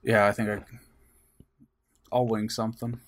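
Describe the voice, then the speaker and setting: A man's voice: on the low side, flat delivery, a little slow, fairly bright tone, no echo. A man chatting casually as a podcast co-host.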